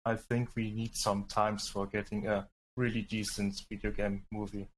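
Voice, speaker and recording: A man with a slightly low voice speaks as a podcast panelist. He is hesitant and searches for his words, and faint noise sits behind him. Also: level tone, moderate pace, poor audio. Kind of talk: reading a question and answering casually.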